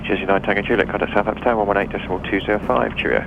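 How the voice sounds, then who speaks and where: Muffled noisy radio, male air traffic controller, rapid delivery, lowish voice, clipped phraseology. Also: fairly even intonation, creaky. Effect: aviation radio. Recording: muffled lo-fi radio with background noise.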